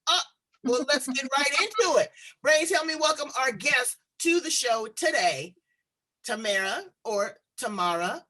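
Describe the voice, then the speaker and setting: A woman hosting a talk show, chatting in a playful, animated way with slangy asides. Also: sits high, unhurried, low-quality recording. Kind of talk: lively talk show chat.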